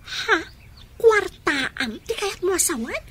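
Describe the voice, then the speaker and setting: Super high piercing young woman, sharp-tongued doubting bestie, radio drama style. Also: very animated, slow pace, a little hiss or hum, exaggerated voice. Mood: doubtful. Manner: incredulous, exclaiming questions.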